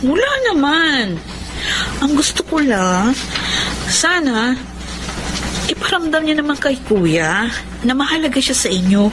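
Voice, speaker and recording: An actress playing an earnest, sentimental young Filipina daughter in a radio drama, with a Filipino accent. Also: female voice, high voice. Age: young adult. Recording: noisy radio drama recording.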